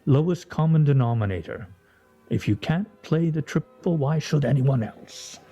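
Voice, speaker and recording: An actor playing a pompous, sardonic husband in a radio play, speaking in a wry, theatrical, mock-formal way. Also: male voice, a little high, lively intonation, moderate pace, warm tone, slightly rough, dull audio, soft background music. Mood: dry teasing. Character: pompous, sardonic husband at a weekly scrabble game.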